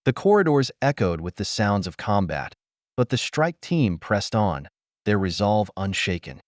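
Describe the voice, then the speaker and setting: A man's voice: lowish voice, very animated, measured pace, no echo, clean studio sound. A man reading a science fiction adventure story as an audiobook narrator, in a dramatic, earnest manner.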